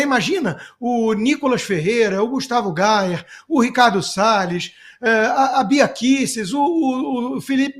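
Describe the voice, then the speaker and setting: High-pitched Brazilian man, sarcastic commentator. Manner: sarcastic, emphatic, colloquial. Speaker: political commentator. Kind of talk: opinionated political commentary, spoken off the cuff. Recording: music playing behind the voice. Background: music.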